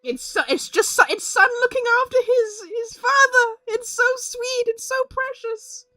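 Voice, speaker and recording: A woman livestreamer giving casual, excitable, exclamatory reactions to a game, with game music behind. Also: very high voice, measured pace, no echo.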